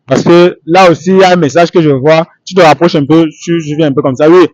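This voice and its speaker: Male street interview host, higher register, halting and repetitive, dry recording. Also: a little mellow, dry recording, lo-fi sound.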